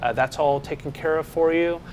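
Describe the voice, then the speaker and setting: A man co-presenting a developer show, chatting in a relaxed way about software partners. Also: fairly high pitch, moderate pace, slight background noise. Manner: relaxed, chatty with filler words. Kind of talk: casual chat. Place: studio set.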